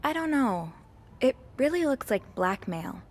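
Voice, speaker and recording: An actress playing a young, curious and polite hotel maid in a video game. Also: female voice, high voice, big pitch swings, measured pace, full character voice. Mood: intrigued.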